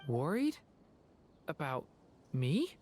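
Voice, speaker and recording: Soft, hesitant young boy. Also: low-pitched, very animated, extremely slow, slight background noise, full character voice. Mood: moved, apologetic. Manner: hesitant, sincere. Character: gentle, earnest young boy with troubled powers. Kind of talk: animated video game dialogue. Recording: music behind the voice.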